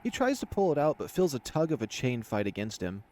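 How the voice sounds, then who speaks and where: Casting: male let's player, mid-pitched, reads fantasy story text aloud and comments casually. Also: big pitch swings, fairly quick, warm tone. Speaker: video game let's player. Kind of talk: reading visual novel narration aloud with casual commentary.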